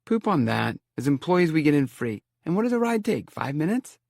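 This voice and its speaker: A playful, insistent young college woman pushing her friends into an outing, as a male audiobook reader puts her on. Her voice sits higher and brighter than the narration, with a touch of gravel. The recording is dry and close. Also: sing-song, normal speed, no echo, full character voice. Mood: teasing.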